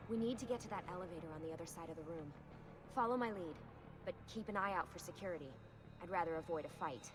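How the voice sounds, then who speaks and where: A woman's voice: lowish voice, quick pace, close to an everyday voice. An actress playing a tense young woman who leads an escape with terse, commanding orders. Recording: game soundtrack music behind.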